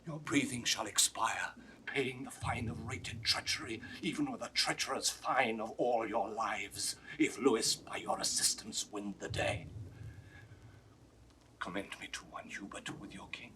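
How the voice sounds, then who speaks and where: A mortally wounded count in a 1960s Shakespeare audio drama. He declaims earnestly with a breathy, failing delivery at a middling pitch. The old recording carries faint noise. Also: steady tone, a little slow, sharp tone. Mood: dying, solemn confession. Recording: noisy vintage 1960s studio recording.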